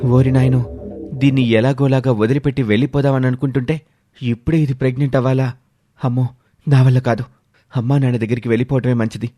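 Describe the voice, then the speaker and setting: A man's voice: mid-range voice, flat delivery, fast, pauses often, mellow, faint music behind, close to an everyday voice. A man voicing a selfish young husband in a story, exasperated and self-pitying. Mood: panicked, exasperated. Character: selfish young husband shirking his responsibilities.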